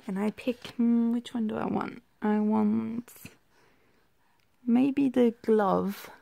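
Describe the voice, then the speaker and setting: A woman's voice: steady tone, takes it slowly, creaky, mellow, no room sound. A young woman chatting casually to camera as a vlogging mum, recorded on a handheld phone with noise around her. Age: young adult. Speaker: vlogger and mother. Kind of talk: casual vlog chat to camera. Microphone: handheld phone or camera at arm's length. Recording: noisy handheld vlog recording, car rumble.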